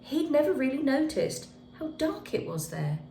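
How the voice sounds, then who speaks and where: A woman's voice: high voice, big pitch swings, moderate pace, mellow. A woman reading a children's picture book aloud expressively, with dramatic emphasis on key words. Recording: echoey room with faint background noise.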